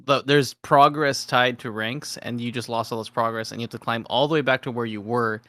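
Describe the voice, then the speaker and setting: A man's voice: mid-range voice, steady tone, fairly quick, fairly bright tone, dry recording. A man co-hosting a gaming podcast, talking in a casual, informal conversational style. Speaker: podcast co-host.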